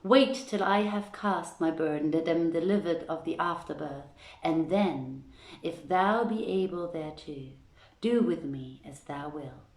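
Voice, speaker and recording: A proud Arabian-tale princess read by a woman declaims her refusals in archaic language. The voice is low and slow but emphatic and defiant, ringing in an echoey room. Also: darkish tone. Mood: wrathful, defiant. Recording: roomy home livestream echo.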